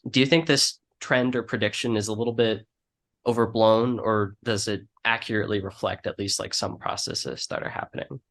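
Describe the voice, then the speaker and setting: A man interviewing a guest, laying out questions in a measured, conversational way. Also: lowish voice, fairly even intonation, a bit bright. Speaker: podcast interviewer.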